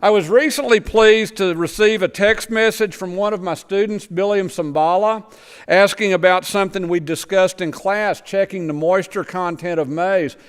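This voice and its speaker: A man's voice: sits high, fairly even intonation, normal speed, clear, resonant tone. A man gives a plain, explanatory church presentation about farming work with slides, sometimes tripping over his words. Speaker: missionary agriculture lecturer. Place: church sanctuary. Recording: long church reverb.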